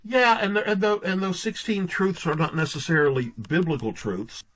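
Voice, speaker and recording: A man's voice: sits high, normal speed, a bit bright. A man speaking as a guest author in an interview, conversational, often restarting and repeating his words as he goes.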